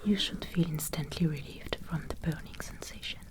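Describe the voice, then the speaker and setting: Softly whispering woman, gentle healer roleplay. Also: deep voice. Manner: gentle, reassuring, unhurried. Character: gentle medieval noblewoman healer tending a wounded soldier. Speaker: ASMR roleplay performer.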